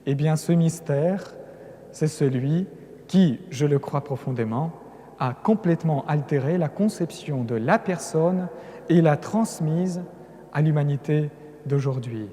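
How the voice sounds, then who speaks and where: A man who is not a native French speaker gives an earnest Lenten conference talk, stacking phrases into emphatic build-ups and restarting words now and then. His voice is fairly high and mellow. A long cathedral reverb trails behind every phrase. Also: unhurried. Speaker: lecturing cleric. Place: large cathedral.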